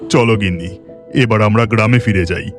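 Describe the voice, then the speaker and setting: A man's voice: fairly low pitch, normal speed, music in the background, close to an everyday voice. An actor playing a kind, devout village husband and boatman in a cartoon, speaking in an earnest, plain-spoken way.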